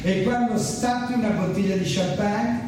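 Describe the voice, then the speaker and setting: A man's voice: high voice, steady tone, normal speed, natural voice. Satirical stage count, played by a man: pompous, smug, courtly seducer, savouring each word; heard far off in a big reverberant hall. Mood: smug, seductive. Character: suave, self-satisfied aristocratic count, womanizing champagne lover. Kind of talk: theatrical satirical monologue. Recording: very echoey hall, distant, noisy.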